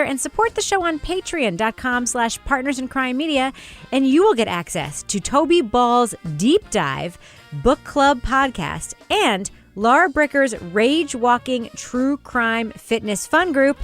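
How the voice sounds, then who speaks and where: High-voiced woman hosting, music underneath. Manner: teasing, playful ribbing. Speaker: podcast host. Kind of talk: casual chat and show sign-off.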